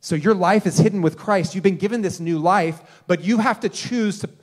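A man in his thirties preaching a sermon on a church stage into a handheld mic, earnest, rising to emphasis and dropping to quiet, slow stresses. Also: high-pitched. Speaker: pastor.

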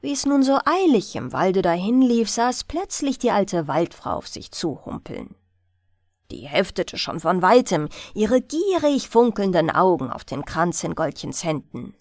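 A woman's voice: mid-range voice, sing-song, a little slow, dry recording, studio quality. A woman narrating a fairy tale as a bedtime audiobook.

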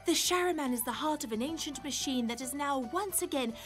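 A woman playing a bright, bookish young student in a cartoon, articulate, prim and a little coy. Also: high-pitched, brisk, soft background music, lightly acted. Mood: wonder. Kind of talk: animated cartoon voice acting.

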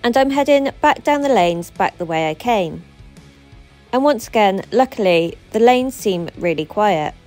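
A woman in her thirties, a truck driver, narrating her work in a steady voice-over, explaining each step. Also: mid-range voice, a little slow, soft background music.

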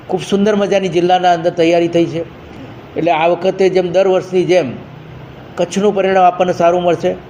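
Higher-pitched man giving an official briefing. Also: dark tone. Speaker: district education official. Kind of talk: informal spoken briefing to a news reporter. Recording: faint background noise.

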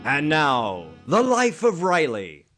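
Guy doing a polished 50s radio announcer, highish voice, animated, music behind. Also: takes it slowly, full character voice. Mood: grand, upbeat. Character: polished, wry 1950s radio sponsor announcer.

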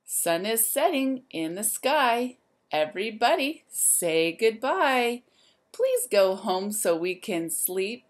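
A woman's voice: on the high side, sing-song, takes it slowly. A woman in her thirties, a teacher reading a picture book aloud to young children in a sing-song way, speaking to them directly.

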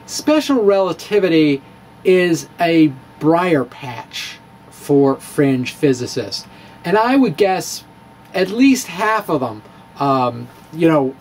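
A man's voice: a little high, big pitch swings, slow pace. A middle-aged man reading his blog aloud dramatically into a close microphone, with informal storytelling asides. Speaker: physics blogger. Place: small indoor room with bookshelves. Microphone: handheld-style microphone on a stand, close in front. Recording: clear background room noise.

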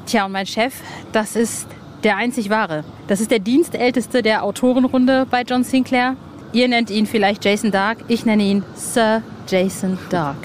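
A woman's voice: fairly high pitch, fairly even intonation, measured pace. Enthusiastic woman podcast host, noisy outdoor setting. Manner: informal, enthusiastic. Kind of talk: casual, chatty podcast talk. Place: outdoor roof terrace. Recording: clear background noise, outdoor construction site. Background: construction and city noise.

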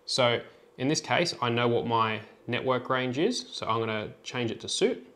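A man's voice: mid-range voice, moderate pace, a bit bright. A man presenting an informal tutorial, talking through a product demonstration step by step in a plain, instructional way.

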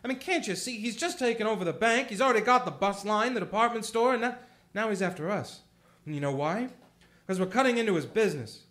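A man's voice: high voice, animated, fast, fairly bright tone. A man playing an earnest young loan-office manager pleading with a panicked crowd in a community radio play.

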